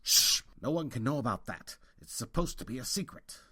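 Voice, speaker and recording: Meek, jittery old man, animated pitch. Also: moderate pace, slightly rough, dry recording, clean studio sound, heavily acted. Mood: nervous. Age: older. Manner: anxious, stammering, self-pitying. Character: meek, frightened old former stage comedian. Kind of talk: audio drama acting.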